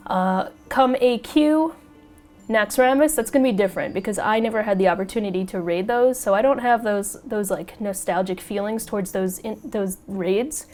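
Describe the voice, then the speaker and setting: A woman hosting a casual podcast chat, a little raspy as she gets over a cold, chatty and excitable and quick to laugh. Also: medium pitch, soft background music. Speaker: podcast host.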